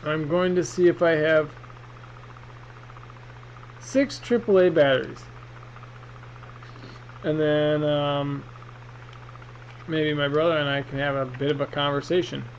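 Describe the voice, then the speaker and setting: A man's voice: fairly high pitch, fairly even intonation, slow, pauses often. A man giving a casual, rambling, hesitant product review in an unboxing vlog, speaking off the cuff. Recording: muffled, with clear background noise.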